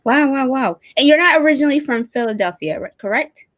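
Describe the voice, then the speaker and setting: A woman's voice: high-pitched, expressive, brisk, fairly bright tone, dry recording, studio quality. A woman hosting an online show in a casual, chatty way, speaking effusively and with enthusiasm.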